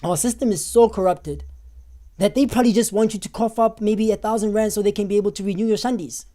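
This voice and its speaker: Brief: South African man, hoarse and losing his voice, streamer answering chat, mellow tone. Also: high-pitched, quick pace. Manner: animated, talks back to viewers' comments. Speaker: live stream host. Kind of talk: live stream commentary reading chat.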